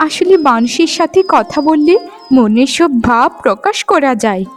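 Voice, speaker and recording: A woman's voice: sits high, animated, moderate pace, faint music behind. A woman playing a sweet, lonely and innocent young forest maiden in a fairytale cartoon, speaking earnestly and politely. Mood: tender, musing. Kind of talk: Bengali fairytale cartoon dialogue.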